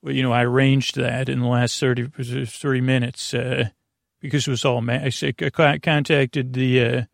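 A man hosting a bedtime podcast, talking through a long, meandering, unhurried intro meant to ease listeners toward sleep. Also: medium pitch, level tone, vocal fry, no echo, clean studio sound. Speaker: podcast host.

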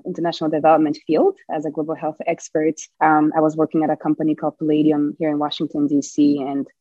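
A woman's voice: low voice, warm tone, no echo, lo-fi sound. A woman in her twenties from Rwanda, answering interview questions earnestly with frequent restarts. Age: 20s. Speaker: podcast guest.

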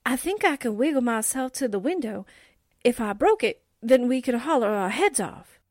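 A woman's voice: on the high side, big pitch swings, moderate pace, darkish tone, no room sound, studio quality. An actress playing a quippy, slangy newspaperwoman sidekick in a 1940s-style radio drama. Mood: game, hopeful. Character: wisecracking, game newspaperwoman sidekick, bubbly temper.

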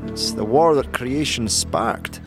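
A man's voice: medium pitch, slow pace, voice pushed up. Man voicing a folksy, rambling old soldier. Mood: weary, grim. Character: jovial old war veteran, rambling and weary. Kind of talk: fantasy audio drama acting. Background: prominent background music.

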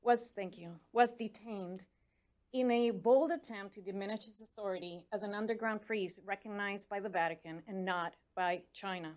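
A woman with a Spanish accent, her first language, delivers a prepared speech at a mid pitch. She speaks earnestly, urging her listeners to keep going, and now and then trips over foreign names. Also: fairly even intonation, moderate pace, a little mellow. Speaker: commissioner on religious freedom.

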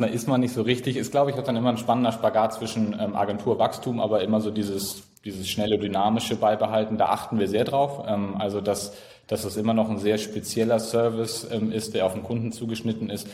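A man in his thirties with a northern German accent and a fairly low voice, speaking as a guest in a relaxed interview. He hesitates often, filling pauses and restarting his sentences as he thinks. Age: 30s. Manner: hesitant, many fillers and restarts. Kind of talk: casual interview talk.

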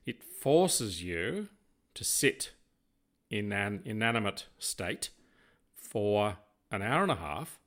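Middle-aged Australian male co-host, low and gravelly, rambling reflective conversation. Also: very animated, slow pace, pauses often, a bit bright. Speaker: podcast co-host.

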